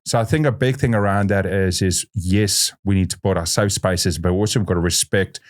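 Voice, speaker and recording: A man's voice: low-pitched, brisk. A man hosting a podcast interview, talking animatedly and acting out quotes. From South Africa. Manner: animated, restarts sentences, acts out quotes. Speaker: podcast host. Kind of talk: conversational interview.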